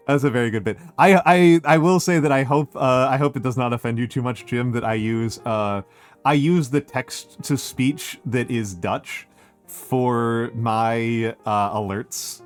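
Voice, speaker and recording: An American man chatting casually on a livestream, reading out viewer messages and thanking them, rambling with many fillers and repeated phrases. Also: medium pitch. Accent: American English. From United States. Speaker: streamer.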